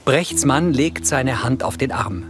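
A male narrator reads scripted scene descriptions in a medium-pitched voice. His intonation moves noticeably, and music plays clearly behind him. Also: animated, a little slow.

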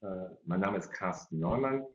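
A middle-aged man speaks as the moderator of an online event. His voice is very low. The sound is dull and roomy, as on a video call made from indoors. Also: lively intonation, normal speed. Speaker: host and moderator. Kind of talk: welcoming and moderating an online parents' evening.

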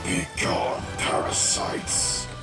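A man voicing a huge, arrogant robotic dragon villain in a comic dub, with a gravelly, guttural voice. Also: drawn-out words. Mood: furious. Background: loud music.

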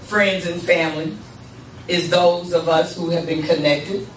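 Slow older woman speaking in church. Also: on the low side, fairly even intonation, slight background noise. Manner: measured, deliberate, with congregation responses. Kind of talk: spoken address at a church service. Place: church sanctuary, at a lectern. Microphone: handheld microphone. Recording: echoey room, camera far off.

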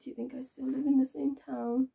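An actress performs a hurt, embittered young woman in a stage drama. Her voice is on the high side and very husky with breath. She speaks haltingly, circling back over her words with raw naturalism. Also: little pitch movement, heavily acted. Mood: wounded, probing. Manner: halting, repeats phrases, swings between hushed and intense. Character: wounded, bitter young woman confronting her past abuser.

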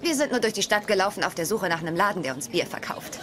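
A woman's voice: medium pitch, animated, fast, a faint crowd, close to an everyday voice. An actress playing a cheerful, down-to-earth young woman in a German sitcom dub. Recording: TV sitcom audio, loud audience.

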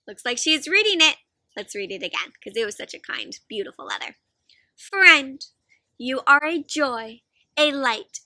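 Casting: young woman, children's read-aloud host, high-pitched and animated, inviting little listeners in with questions. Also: dry recording. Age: young adult. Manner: expressive, asks frequent questions, gasps. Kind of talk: interactive picture-book read-aloud for children. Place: outdoors in a fenced backyard.

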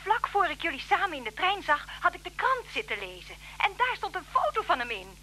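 A very high, sharp-voiced woman, an alarmed and insistent friend in a radio drama. Her pitch swings widely over a faint hum. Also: very animated, a little fast, full character voice. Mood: anxious, insistent. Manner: urgent, pleading. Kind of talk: Dutch radio play acting. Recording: humming, noisy old radio recording.

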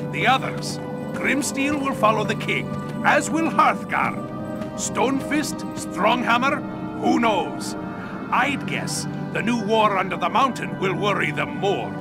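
An actor playing a hearty, blunt and mischievous burly dwarf warrior in a video game cutscene. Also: male voice, high voice, expressive, slow. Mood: resolute. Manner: hearty, rough-edged, jovial. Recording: loud music behind the voice. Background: loud game music.

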